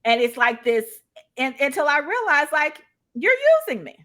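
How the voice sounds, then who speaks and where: A woman's voice: high-pitched, lively intonation, moderate pace, pushed and bright. A woman speaking as a guest in a live discussion, conversational and emphatic, often checking in as she shares her experience. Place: live online video call.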